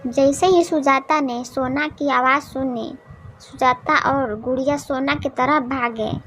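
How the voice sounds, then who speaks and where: Slow, high-pitched woman storyteller. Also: steady tone, a bit bright. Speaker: story narrator. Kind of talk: scripted moral story narration. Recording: clearly noisy background.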